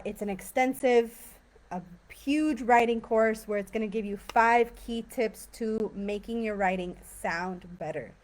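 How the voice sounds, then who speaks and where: A young woman from California teaching English, talking casually on a live stream with many fillers. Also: mid-range voice. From San Diego and Tijuana. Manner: chatty, relaxed, many fillers.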